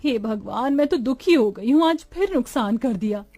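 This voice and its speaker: A woman's voice: a little high, lively intonation, normal speed, mellow, no echo. A woman voicing a weary, doting older mother-in-law in a cartoon story, lamenting and drawing out her words. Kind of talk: cartoon moral story acting.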